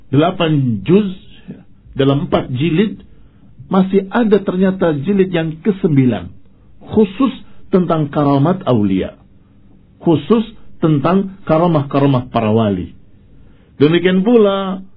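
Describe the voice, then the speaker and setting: Husky male preacher, muffled recording. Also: fairly high pitch. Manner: didactic, emphatic, with Arabic phrases and rhetorical questions. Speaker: Islamic preacher and teacher. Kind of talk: religious lecture. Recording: dull, muffled lo-fi lecture recording.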